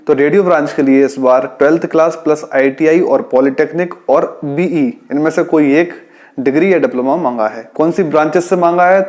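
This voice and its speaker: A man's voice: fairly high pitch, a little mellow. A man speaks as an online exam guide. He explains things informally and instructively, going back over key points so listeners can follow. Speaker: police radio branch employee presenting an exam-guidance channel. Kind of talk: informal explainer addressed to job aspirants.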